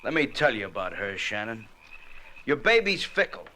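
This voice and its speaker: Sneering young gunman, sharp-edged voice. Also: fairly low pitch, sing-song, moderate pace. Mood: gloating. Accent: American. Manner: taunting, sneering, slangy. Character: menacing, gloating, wisecracking young male gunman. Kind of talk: 1940s-50s radio crime drama acting. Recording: noisy vintage radio recording.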